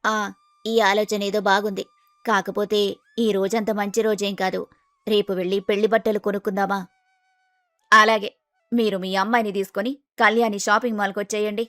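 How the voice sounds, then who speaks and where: Woman voicing courteous older mother, lowered pitch, dry sound. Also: little pitch movement, moderate pace, long pauses, fairly bright tone, dry recording, faint music behind, close to an everyday voice. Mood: polite, practical. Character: polite middle-aged mother of a groom, planning. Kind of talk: acted storybook dialogue.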